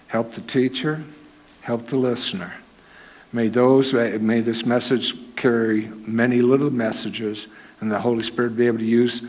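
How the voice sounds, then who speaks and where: A man teaching a sermon in church, with a naturally loud voice held down, talking in a conversational way and asking the congregation questions. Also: mid-range voice, rough recording. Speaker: preacher. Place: church hall.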